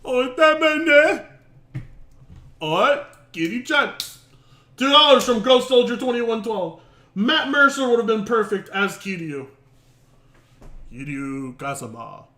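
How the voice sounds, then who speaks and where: A male streamer who talks casually in a rambling, hesitant way and swears and laughs a lot. His voice is high and bright, with lively swings in pitch. The room sounds echoey. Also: unhurried, long pauses. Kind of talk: casual live-stream reaction.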